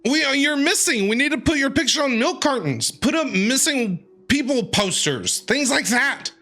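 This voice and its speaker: A man streaming a game, reacting with quick jokes and animated quips. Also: extremely high pitch, faint music behind. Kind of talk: reaction commentary over a game.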